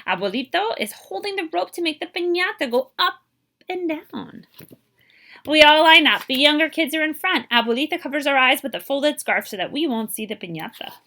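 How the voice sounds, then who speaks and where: A woman with a fairly high, bright voice reads a picture book aloud to young children. Her pitch moves in lively swings, with emphatic phrasing. She slips between English and Spanish, explaining as a librarian would at story time. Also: normal speed. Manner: animated, emphatic delivery for young listeners. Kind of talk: expressive picture-book read-aloud with translations and asides.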